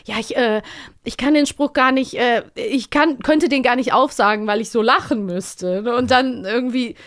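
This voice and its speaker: Female podcast co-host, slightly high voice, chatty and conversational, performs quoted bits as she retells. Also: moderate pace. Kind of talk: casual chat about children's audio plays.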